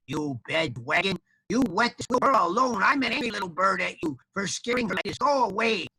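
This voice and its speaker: A man's voice: high-pitched, expressive, fairly quick, sharp tone, husky, dry recording, pitched above the performer's own voice, full character voice. A man voicing a brave, indignant little baby chicken in a children's story, earnest and scolding.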